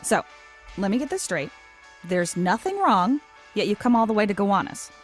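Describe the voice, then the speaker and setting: An actress playing a confident, exasperated ad agency worker in an audio sitcom, speaking emphatically. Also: female voice, medium pitch, sing-song, moderate pace, soft background music, close to an everyday voice. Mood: fed up.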